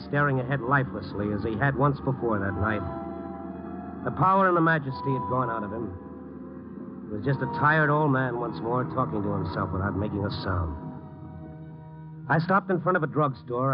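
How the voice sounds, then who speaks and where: A man plays a wry, tired newspaperman telling his story in a vintage radio noir. The voice is mid-pitched and slightly muffled, over clear music. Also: lightly acted. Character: world-weary male newspaper reporter, compassionate and wry. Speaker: narrator and lead reporter. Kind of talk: 1950s radio noir narration and acting. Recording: dull old radio drama recording.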